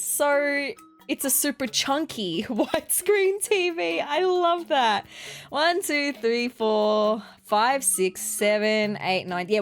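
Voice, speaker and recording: An Australian woman with a fairly high, nasal voice talks her way through a building game in a bubbly, chatty way. She thinks aloud, counts pieces and reacts as she goes. Light game music sits quietly behind her. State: nasal from seasonal allergies. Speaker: let's play gaming host. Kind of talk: casual gameplay commentary.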